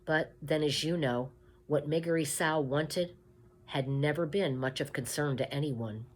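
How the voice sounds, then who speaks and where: A woman reading a children's story aloud expressively, with deliberate emphasis, addressing the listener directly. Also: low voice, a little mellow, slight background noise.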